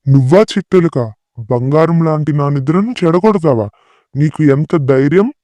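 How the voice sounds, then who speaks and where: Man voicing a pompous mellow lion king. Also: fairly high pitch, moderate pace, dry recording, natural voice. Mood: threatening. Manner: pompous, threatening. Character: vain, boastful, bullying lion king of the forest. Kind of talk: animated children's story dialogue. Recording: music behind the voice.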